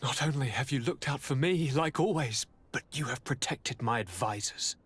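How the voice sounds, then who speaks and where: A man acting a sickly young prince in a video game cutscene, speaking formally and theatrically with weary sighs and a husky voice. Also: medium pitch, normal speed, fairly bright tone. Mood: weary gratitude.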